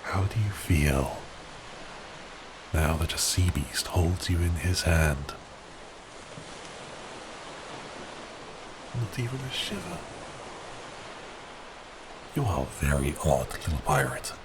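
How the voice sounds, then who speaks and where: A man's voice: a bass voice, very animated, unhurried, pauses often, background noise, exaggerated voice. A man playing a giant cursed sea beast prince in an ASMR roleplay, theatrical, melancholy and exasperated. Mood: amused, curious.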